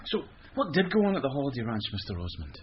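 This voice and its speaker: An actor playing a polite, earnest and naive British police sergeant in a radio drama. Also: male voice, mid-range voice, huge pitch swings, fast, a crowd in the background. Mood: earnest, questioning. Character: polite, naive, easily shocked young male police sergeant. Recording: muffled, with music behind.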